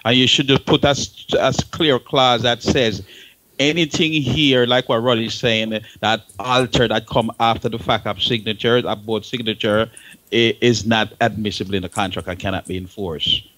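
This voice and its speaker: Man, Jamaican accent, talk show panelist, emphatic, repetitive phrasing, informal advice, medium pitch. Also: fairly even intonation, moderate pace, a bit bright, rough recording. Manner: emphatic, repeats words and phrases.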